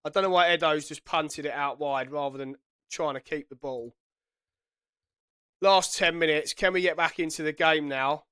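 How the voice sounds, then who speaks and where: A man's voice: fairly high pitch, steady tone, moderate pace, sharp tone, smooth, dry recording, studio quality. A man with a head cold giving chatty, self-correcting commentary while playing a football management game. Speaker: gaming YouTuber. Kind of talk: casual gameplay commentary.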